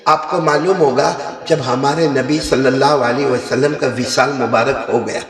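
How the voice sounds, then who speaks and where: Animated preacher in an echoing hall. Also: male voice, normal speed. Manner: animated, with sudden high rises and English words mixed in. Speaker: Islamic preacher. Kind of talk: storytelling sermon. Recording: echoey hall with long reverb.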